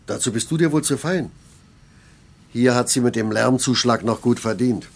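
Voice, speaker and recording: An actor playing a blunt, sarcastic middle-aged factory master in a German radio play, gruff and colloquial. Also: male voice, mid-range voice, normal speed. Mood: irritated, mocking. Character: grumbling, blunt older factory master, male.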